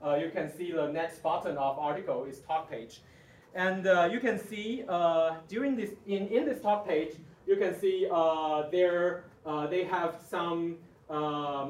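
Man, Mandarin accent, high voice, halting, workshop presenter, distant echoey room sound. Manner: halting, hesitant delivery. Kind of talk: live demonstration talk to an audience. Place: large conference room, distant camera without microphone. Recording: echoey room, far from the microphone.

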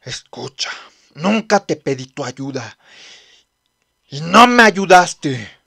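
A man voicing an angry, arrogant teenage boy rival in an anime fan dub, shouting with proud contempt. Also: on the high side, very animated, slow pace, creaky, dry recording, clean studio sound, heavily acted. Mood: furious, scornful.

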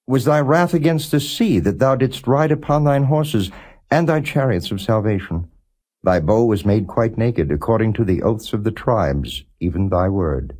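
A man giving a non-dramatized scripture reading as a narrator, in a measured, solemn and formal way. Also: lowish voice, expressive, darkish tone. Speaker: Bible reader, audiobook narrator.

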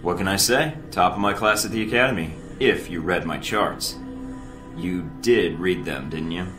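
An actor playing a brash, cocky young American secret-agent recruit in a video game, with a flippant manner. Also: male voice, deep voice, moderate pace, close to an everyday voice. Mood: smug. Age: young adult. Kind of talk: video game cutscene dialogue. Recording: echoey, music underneath.

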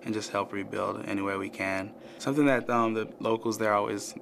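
A man's voice: lowish voice, steady tone, fairly quick. A young man, a student volunteer, giving an interview testimonial. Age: young adult. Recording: clear background noise.

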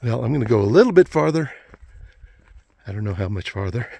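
A man in his sixties, out of breath and husky, chatting to himself in folksy exclamations as he vlogs outdoors on a phone. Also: mid-range voice, lively intonation, a little fast, mellow, slight background noise. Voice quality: husky, gravelly. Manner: folksy, exclaiming, talking to himself in short bursts. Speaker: travel vlogger. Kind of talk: casual hiking vlog narration. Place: outdoors on rocky trail. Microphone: handheld phone at arm's length.

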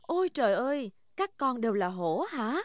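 A woman voicing a gentle, caring mother pig in a children's cartoon dub. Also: a little high, very animated, moderate pace, heavy background noise. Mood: friendly. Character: gentle, caring mummy pig, adult female.

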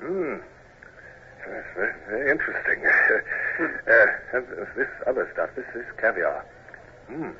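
An actor playing a proud, awkward British naval commodore in a radio drama, speaking formally with clipped, sometimes stammering delivery. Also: male voice, medium pitch, sing-song, unhurried, breathy edge, full character voice. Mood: tipsy, expansive. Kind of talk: 1950s radio drama acting and narration. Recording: muffled, noisy 1950s radio recording.

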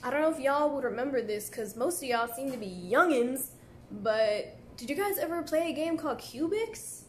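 Young woman, light and a bit high-pitched, easygoing chatter to viewers from a café, phone mic close by. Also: expressive, normal speed, a little hiss or hum. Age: young adult. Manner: chatty, laid-back, heavy use of "like" fillers. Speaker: live streamer answering viewer comments. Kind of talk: casual live stream chat. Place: indoor café at night. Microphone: phone at arm's length.

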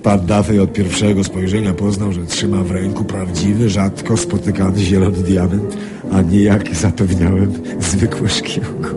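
An actor playing a sly, smug card-sharp recounting his scheme in a radio drama. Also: sits low, flat delivery, normal speed, natural voice. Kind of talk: radio drama acting, storytelling. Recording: music playing behind the voice.

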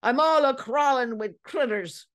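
A wild, ragged old sailor , as a woman narrator puts him on, pitched well up and creaky. He rants and snarls in salty whaling talk, a frantic storybook character with a shrill edge. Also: slow, close to an everyday voice. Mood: shrieking, itchy. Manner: salty nautical ranting, shrieking and snarling. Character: crazed, ragged old whaler, a bearded male escaped captive.

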